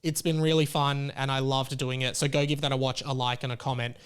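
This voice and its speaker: A man's voice: mid-range voice, level tone, fairly quick, smooth. A man hosting a podcast, chatting casually and rambling with lots of fillers and restarts. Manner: rambling, self-interrupting, many fillers. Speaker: podcast host.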